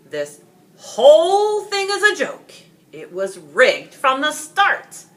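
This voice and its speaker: A woman voicing an outraged, blustering country father in a storybook, yelling his folksy accusations indignantly. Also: high voice, huge pitch swings, slow, voice pushed up, exaggerated voice.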